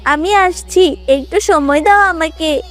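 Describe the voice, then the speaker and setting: A woman's voice: extremely high pitch, slow pace, faint music behind. A woman voicing a sensitive, hurt teenage girl in a cartoon drama, speaking with emotional, pleading delivery. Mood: softened, grateful. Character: hurt, self-loathing dark-skinned teenage girl. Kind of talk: dramatic Bengali cartoon dialogue.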